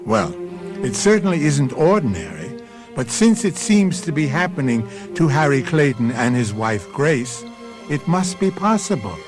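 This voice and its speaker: A man hosting and narrating a radio mystery in a suspenseful, deliberate, ominous and theatrical way. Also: a little high, very animated. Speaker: host and narrator. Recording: vintage radio broadcast with music. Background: prominent music.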